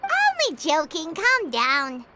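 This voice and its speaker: A woman voicing a small, eager, blunt fairy companion with a squeaky childlike voice in a video game. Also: wildly swooping pitch, takes it slowly, background noise, exaggerated voice. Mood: chatty. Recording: music playing loudly behind.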